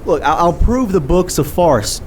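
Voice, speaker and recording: A flustered, argumentative skeptic played by a male actor in an audio drama. His voice is somewhat high for a man and swings widely in pitch as he stammers in exasperation, informal and excitable. There is faint noise in the recording. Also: unhurried. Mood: challenging.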